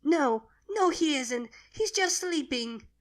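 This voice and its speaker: A woman's voice: high voice, expressive, takes it slowly, voice pushed up. A woman voicing a frightened, grieving baby girl dragon who pleads and protests in a storybook reading. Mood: tearful denial.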